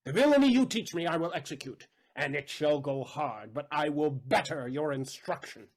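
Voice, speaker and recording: An actor playing a bitter, vengeful moneylender and betrayed father in a Shakespearean audio play, with a husky voice and theatrical, emphatic delivery. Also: fairly high pitch, expressive, normal speed. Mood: impassioned, wounded anger. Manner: theatrical, emphatic, swinging between hushed and loud.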